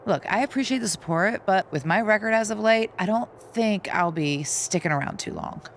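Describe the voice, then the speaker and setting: A woman's voice: medium pitch, lively intonation, normal speed, slight background noise, natural voice. An actress playing a resigned veteran wrestler, subdued and hesitant in her speech. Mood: doubtful. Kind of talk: scripted game cutscene dialogue.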